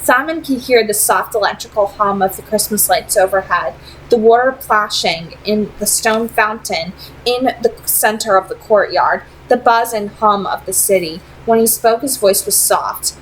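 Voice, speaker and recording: A woman's voice: medium pitch, normal speed, slight background noise, poor audio. A woman narrating fiction as an amateur book reader. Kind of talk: read-aloud fiction narration.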